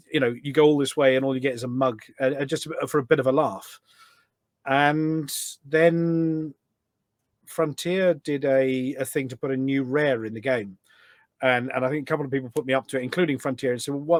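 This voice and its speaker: A middle-aged man chatting casually as a podcast guest, telling stories in an animated way. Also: mid-range voice, no echo. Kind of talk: casual anecdotal chat.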